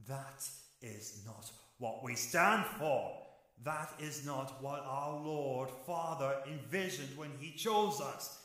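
Casting: male actor for grave, righteous archangel brother, declamatory audio drama style, fairly high male voice, drenched in echo. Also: pushed and bright. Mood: stern, indignant. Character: stern archangel brother, solemn and sorrowfully righteous. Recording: heavily echoing voice.

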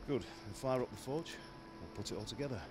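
A man's voice: medium pitch, animated, fast, very noisy, lightly acted. An actor playing a stern, proud middle-aged blacksmith father in a video game, plain-spoken and admonishing. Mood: businesslike, pleased. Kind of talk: video game cutscene acting. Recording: game music behind the voice.

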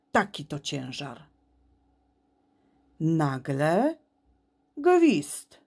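Lady reading a kids poem, theatrical, high voice, really slow. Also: a little mellow. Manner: theatrical, deliberate, dramatic pauses. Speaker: poem reader. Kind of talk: expressive children's poetry recitation.

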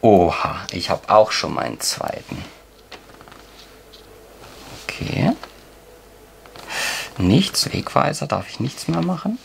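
A man's voice: lowish voice, wildly swooping pitch, slow, pauses often. A man, casually explaining a board game in an encouraging, playful way, like a dad hosting. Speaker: host of a family board game channel, father. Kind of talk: casual explanatory game play-through with a child. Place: indoors at a table at home. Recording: clearly noisy room, clicking game pieces.

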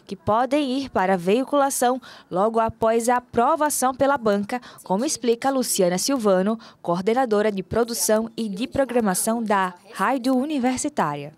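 A woman's voice: fairly high pitch, normal speed, hardly any pauses. A woman reporter narrating a scripted news story. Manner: clear even narration.

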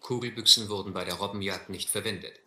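An actor playing a reflective, educated seaman who narrates his voyage in a measured, literate way in a radio play. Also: fairly low pitch, fairly even intonation, sharp tone. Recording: echoey old recording with faint noise.

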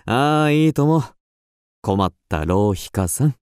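A man playing an upper-class husband in a radio drama, suave and indulgent, teasing with gentle condescension. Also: mid-range voice, expressive, takes it slowly, smooth, dry recording, clean studio sound. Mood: affectionate, teasing.

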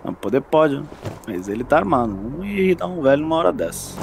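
A man's voice: a little high, big pitch swings, fast talker, vocal fry, music in the background. A man playing an easygoing small-town bartender in an audio drama, talking in a colloquial, familiar way. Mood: casual.

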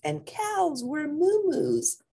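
A woman's voice: high-pitched, huge pitch swings, very slow, warm tone, voice pushed up, heavily acted. A woman voicing gushing little kitten sisters in a storybook, with childlike, singsong replies. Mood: matter-of-fact.

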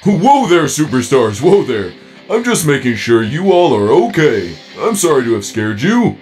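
Man doing a welcoming animatronic bear host, mid pitch, very animated delivery, music under it. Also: moderate pace, close to an everyday voice. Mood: showman. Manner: showman, welcoming. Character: warm fatherly animatronic bear entertainer.

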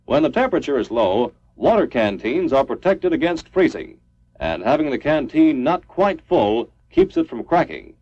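A man's voice: fairly high pitch, huge pitch swings, moderate pace, darkish tone. A man reading a scripted 1950s military training film narration in a formal, clipped, instructional manner. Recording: dull lo-fi 1950s film soundtrack.

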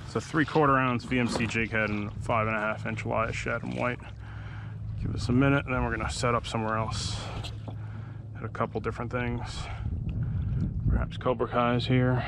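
Casual man vlogging through loud wind noise. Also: medium pitch, little pitch movement, moderate pace, gravelly. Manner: laid-back, colloquial, often talking to himself. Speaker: kayak fishing vlogger. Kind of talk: casual fishing vlog commentary. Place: outdoors in a kayak on open sea. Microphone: action camera mounted on kayak or body.

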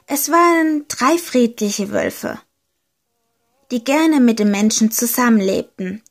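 A woman reading a short homemade fairy tale aloud, slow and deliberate, leaning gently into key words. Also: a little high, very animated. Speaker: storyteller. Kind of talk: slow storybook narration.

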